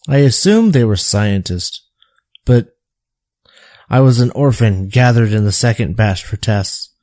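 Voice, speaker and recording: A man playing a tormented survivor who recounts his painful past in a halting, reflective way in an audio drama. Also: medium pitch, a little mellow, no echo, clean studio sound, lightly acted. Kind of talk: audio drama dialogue, confessional storytelling.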